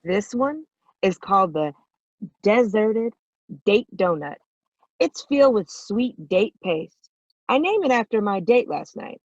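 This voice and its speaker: A woman's voice: mid-range voice, big pitch swings, slow pace, pauses often, darkish tone, no echo. An actress playing a breezy, chatty donut delivery woman in a comedy table read, on dull lo-fi audio. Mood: cheerful.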